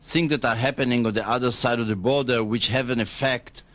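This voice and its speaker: A man with an Israeli accent answers as a government spokesman in a radio interview. His voice is of medium pitch. He speaks in a measured, diplomatic way, often hesitating as he picks his words. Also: steady tone, a bit bright.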